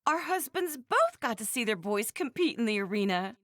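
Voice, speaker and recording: A woman's voice: fairly high pitch, big pitch swings, moderate pace, fairly bright tone, dry recording, studio quality. A woman playing a spirited, blunt, proud country wife and mother in an anime video game, frank and folksy. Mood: chatty. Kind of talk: scripted anime game voice acting.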